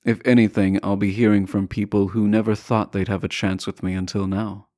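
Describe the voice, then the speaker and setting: A man playing a teasing, self-assured deposed prince in a romantic audio roleplay, speaking softly and deliberately with courtly, formal phrasing. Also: on the low side, level tone, no room sound, natural voice. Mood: teasing, confident. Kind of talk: romantic audio roleplay acting, addressing the listener.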